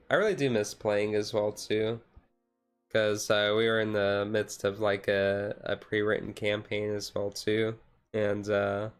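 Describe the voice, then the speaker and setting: A man chatting casually on a relaxed livestream in a rambling, easygoing way. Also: fairly low pitch, smooth. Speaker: streamer, tabletop game master. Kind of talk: casual chat on a relaxed ASMR-style livestream.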